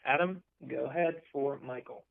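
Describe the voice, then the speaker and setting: Clear-voiced man moderating on a phone line. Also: mid-range voice, normal speed. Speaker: conference call moderator. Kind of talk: orderly press call moderation. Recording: narrow phone conference line.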